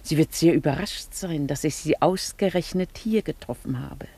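A woman's voice: deep voice, normal speed, mellow, breathy edge. An actress playing a genteel upper-class married lady in a German radio drama, composed and formal in manner, holding on to an elegant past. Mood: wistful, dreamy.

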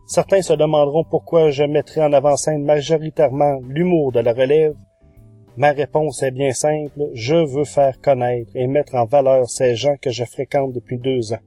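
A man's voice: medium pitch, steady tone, normal speed, warm tone, dry recording, faint music behind. A man, hosting a podcast and presenting reviews of audio shows in a monologue. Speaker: podcast host.

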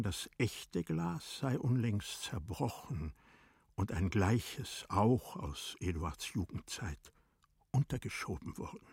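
A man narrating a literary story in a measured, solemn way, with a husky, breathy voice. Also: on the low side, a little mellow, no echo, studio quality.

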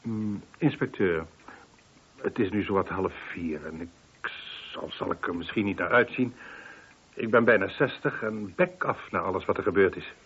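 Tired defensive older rich man, bit low and husky, old radio drama sound, kinda halting. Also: a bit bright. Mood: weary, explaining. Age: late 50s. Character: weary, defensive man nearing sixty, wealthy gun maker. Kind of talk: Dutch radio play acting. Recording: muffled, band-limited old radio recording.

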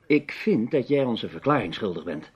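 An actor playing a serious, probing male investigator, measured and insistent, in an old Dutch radio play with a dull sound. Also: mid-range voice, sing-song, breathy edge. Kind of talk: radio drama acting in Dutch. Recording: dull, band-limited old radio recording.

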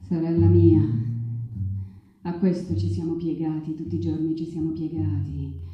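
A woman's voice: low voice, little pitch movement, highs cut off, pitched below the performer's own voice, close to an everyday voice. A woman playing a defiant, anguished Antigone in an incantatory, emphatic theatrical monologue. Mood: hushed, mournful. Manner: incantatory, slow, emphatic, with repeated phrases. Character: defiant, anguished young Antigone rebelling against power. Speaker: stage actress and playwright. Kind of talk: poetic theatrical monologue over live guitar. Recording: very echoey, reverberant live performance with guitar.

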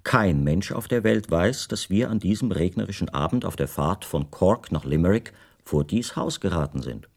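Low-voiced, reflective male writer narrating. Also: animated, creaky, exaggerated voice. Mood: recollecting. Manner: measured, precise, formal. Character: reflective male writer, a foreign guest recounting his disappearance. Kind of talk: literary radio drama first-person narration.

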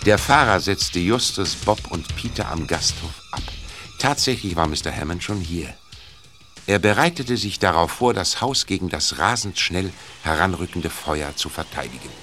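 A male narrator with a deep voice tells a scripted audio drama story. Music plays clearly behind the narration. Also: lively intonation, normal speed.

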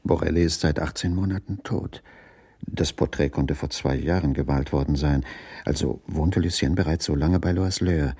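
A deep-voiced jealous husband broods in a radio crime drama. His voice is low with a gravelly creak, and he ponders bitterly, as if thinking aloud. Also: normal speed, mellow, full character voice. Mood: pondering, suspicious. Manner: bitter, pondering inner monologue. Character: brooding, jealous betrayed husband, a dining-car waiter.

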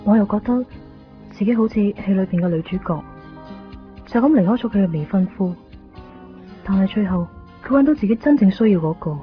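Radio drama: actress as earnest, lovelorn young Hong Kong woman, medium pitch, faint music, dull sound. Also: expressive, fairly quick, long pauses, natural voice. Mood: yearning. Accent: Hong Kong Cantonese. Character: yearning, self-doubting young woman in love. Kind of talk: radio drama inner monologue. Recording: dull 1990s radio recording.

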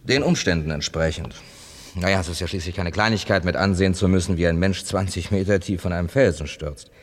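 An actor playing a composed, discreet writer in his thirties in a 1970s radio crime drama, speaking in a measured, reassuring way. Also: low-pitched. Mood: sober reporting. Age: 30s. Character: composed, discreet male writer, thirty-six, narrator and confidant.

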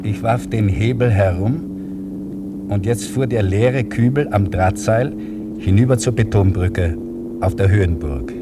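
An actor playing a proud, dutiful older crane operator in a 1950s radio drama. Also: male voice, on the low side, measured pace, heavy background noise, natural voice. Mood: measured recollection.

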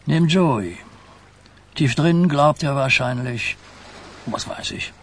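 An actor playing an aging mafia boss in a radio play, rueful, halting and confiding. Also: male voice, on the high side, husky. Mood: troubled. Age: older.

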